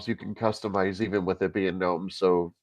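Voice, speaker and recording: A man with a stuffy, congested voice, co-hosting a casual tech podcast over a remote call and pausing often with filler sounds. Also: sits low, level tone. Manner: halting, many filler sounds. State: congested from a cold. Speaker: podcast co-host.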